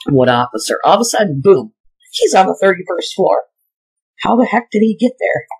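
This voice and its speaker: A husky-voiced amateur narrator breaks down material for a homemade video. The delivery is casual and skeptical, with emphatic stress on key points, and sits at a medium pitch. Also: big pitch swings, normal speed, a little mellow, low-quality recording.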